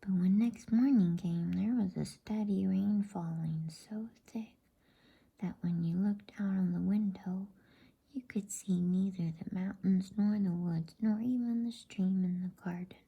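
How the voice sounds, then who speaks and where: A woman softly reading a bedtime story as ASMR, in a hushed, breathy, mostly unvoiced whisper. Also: medium pitch. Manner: soft, hushed, unhurried delivery.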